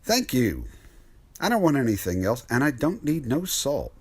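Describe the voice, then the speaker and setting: A man acts a worn-out, skeptical truck driver for a radio mystery. The voice is husky and swings widely in pitch, with folksy wisecracks in plain working talk. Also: wildly swooping pitch, moderate pace, full character voice. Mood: weary. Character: weary, skeptical, joking male truck driver. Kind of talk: radio mystery drama acting.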